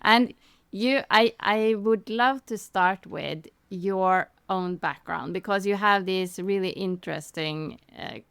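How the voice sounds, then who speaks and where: Friendly, clear-voiced woman podcast host. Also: fairly low pitch, dry recording. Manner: warm, friendly, halting questions with fillers. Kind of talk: podcast interview.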